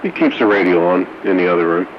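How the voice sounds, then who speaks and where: A deep-voiced man banters casually as a radio operator. His voice comes through a two-way radio, dull and narrow, with clear noise over it. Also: animated, quick pace. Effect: two-way radio transmission. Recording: muffled, noisy two-way radio audio.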